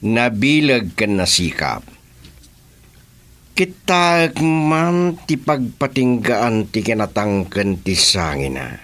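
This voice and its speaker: An actor with an Ilocano accent playing a bossy, gloating, hard-drinking boss in a radio drama. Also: male voice, fairly low pitch, very animated, slow, fairly bright tone, full character voice. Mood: gloating, swaggering. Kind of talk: Filipino radio drama acting.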